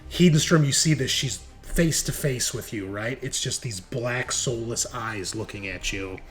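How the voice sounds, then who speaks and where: A man's voice: medium pitch, unhurried, a bit bright, soft background music. A man acting as game master, narrating the action of a tabletop role-playing game in a casual, conversational, storytelling way.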